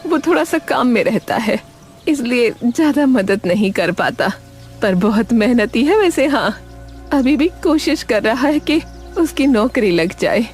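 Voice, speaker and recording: A woman's voice: fairly high pitch, expressive, measured pace, a little mellow. Woman voicing an anxious, tender elderly village mother. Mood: humble, tender. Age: older. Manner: pleading, hesitant. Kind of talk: Hindi animated story dialogue. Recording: music under the voice.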